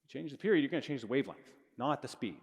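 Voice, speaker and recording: Middle-aged male lecturer, loose and self-correcting, mid-range voice, booming lecture-hall echo. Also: animated, very fast, breathy edge, dull audio. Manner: casual, self-correcting explainer with chuckles. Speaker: physics lecturer. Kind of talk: live university lecture. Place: large lecture hall, indoors, with students. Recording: long reverb of a large hall.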